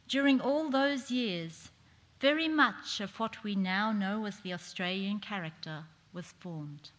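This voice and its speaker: Middle-aged actress as 1940s female politician, stately and measured, formal stage oratory, mid-pitched, echoing theatre. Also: a bit bright. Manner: measured, deliberate, declamatory. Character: dignified 1940s woman politician addressing parliament. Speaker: performer reading a historic speech on stage. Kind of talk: theatrical formal oratory, recited parliamentary speech. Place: large theatre stage, indoors. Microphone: stage microphone on a stand. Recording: echoey hall with long reverb.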